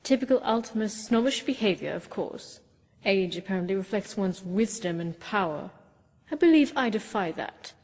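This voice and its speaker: A woman's voice: medium pitch, animated, normal speed, darkish tone, in a large hall. A woman voicing a spirited, outspoken young elf adventurer in a fantasy video game. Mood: proud, matter-of-fact.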